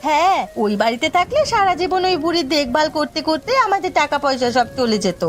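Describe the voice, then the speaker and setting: A woman plays a village wife and mother in a cartoon. She is chatty, grasping and sharp-tongued, in a very high voice. Soft music sits underneath. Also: lively intonation, fast. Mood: spiteful. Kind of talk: Bengali cartoon story voice acting.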